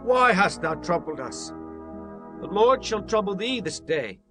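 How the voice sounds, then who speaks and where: A man's voice: high-pitched, very animated, a little slow. A man playing a solemn, pious Israelite leader in a dramatized scripture audiobook, speaking in declamatory archaic diction. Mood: stern reproach. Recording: music behind the voice.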